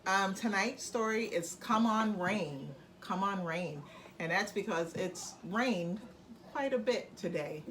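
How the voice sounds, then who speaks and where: A woman reading a picture book aloud as a bedtime story for children, speaking gently. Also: mid-range voice, lively intonation, takes it slowly, slight background noise. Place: home room with plants on the table.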